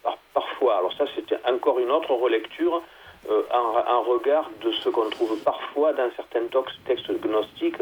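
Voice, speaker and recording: A man, a theology guest, explaining at length in a halting conversational way, with many fillers and restarts. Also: medium pitch, narrow, tinny audio, slight background noise.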